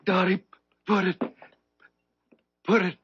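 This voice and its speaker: A man's voice: sits high, flat delivery, takes it slowly, a bit bright, no room sound, heavily acted. An actor playing a frail, honest old night watchman in a 1970s radio drama, thin-voiced, folksy and faltering. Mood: straining, urgent. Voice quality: thin, raspy. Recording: muffled vintage radio broadcast.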